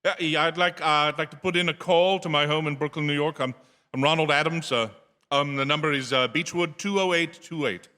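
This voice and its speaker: An actor playing a haunted, desperate traveling man in a radio drama, halting and prone to stammer. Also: fairly high pitch, steady tone, pushed and bright. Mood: nervous. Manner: halting, stammering when distressed. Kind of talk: radio drama acting, first-person narration and dialogue. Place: theatre stage. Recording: live theatre reverb, music underneath.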